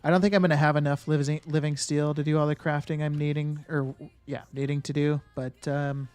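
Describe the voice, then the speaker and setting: Casual streamer man, slightly high voice. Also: flat delivery, brisk, darkish tone, clear, resonant tone, soft background music. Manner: thinks aloud and answers chat with many fillers and restarts. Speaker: game streamer. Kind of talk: casual live-stream chat.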